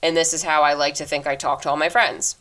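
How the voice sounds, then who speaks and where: A woman in her twenties hosting a solo podcast, talking earnestly and reflectively into her microphone, fast by habit, with a deep voice. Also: fairly even intonation, fairly bright tone. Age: 20s. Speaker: podcast host. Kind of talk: casual solo advice talk.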